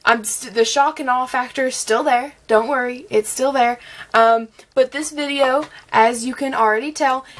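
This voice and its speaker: A young woman vlogger with a slight cold, chatting and gushing through a casual makeup review, with plenty of ums and likes. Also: on the high side. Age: young adult. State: has a cold, a bit scratchy. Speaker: beauty vlogger.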